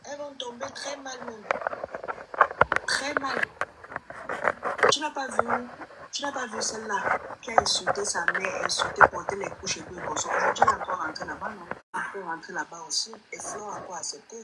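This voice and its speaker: A woman's voice: mid-range voice, fairly quick, heavy background noise. A woman chatting emphatically about gossip with a co-host. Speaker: co-commentator. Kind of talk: casual gossip chat.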